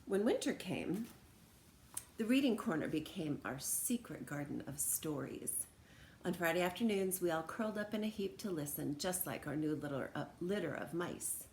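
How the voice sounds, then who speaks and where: A woman reading a children's story aloud in an animated, expressive way with dramatic emphasis. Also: fairly low pitch, normal speed. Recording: roomy echo, background noise.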